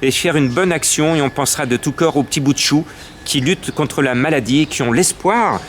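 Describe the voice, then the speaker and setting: A man's voice: a little high, brisk, slight background noise. A man organizing a theatre event, speaking in an upbeat, inviting promotional interview.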